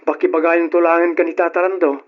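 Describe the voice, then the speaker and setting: A man playing a humble, polite young janitor in an old radio drama, with a husky voice. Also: a little high, little pitch movement, quick pace, phone-quality sound, natural voice. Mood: polite, bashful.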